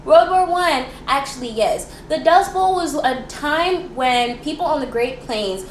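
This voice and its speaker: A woman's voice: high voice, lively intonation, a little slow. A young American woman with a playful, theatrical, slangy manner, chattily presenting history as a student host and throwing out rhetorical questions. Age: young adult. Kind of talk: chatty, informal history presentation for a school project. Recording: echoey room with faint background noise.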